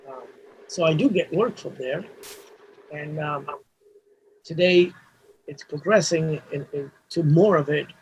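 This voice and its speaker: A man's voice: a little high, unhurried, pauses often, darkish tone, a little hiss or hum, poor audio. A man, a Hebrew speaker, talking casually as an interview guest, rambling with restarts and frequent "you know" fillers. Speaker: mastering engineer guest.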